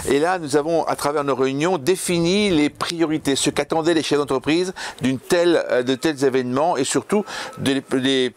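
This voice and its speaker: Man, formal and emphatic official. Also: a little high. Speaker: local elected official. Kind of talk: testimonial interview.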